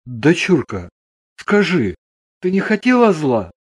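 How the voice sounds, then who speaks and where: A fairly high-voiced husband and father acted by a man, wry and a little gruff but steady. His storybook lines move with lively, expressive intonation. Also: slow pace, full character voice. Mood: soothing.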